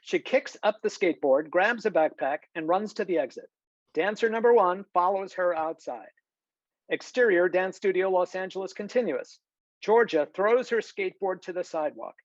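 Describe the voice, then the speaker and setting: Narrator reading screenplay stage directions, middle pitch, close dry sound, bit muffled. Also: normal speed, dry recording. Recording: dull, lo-fi video call audio.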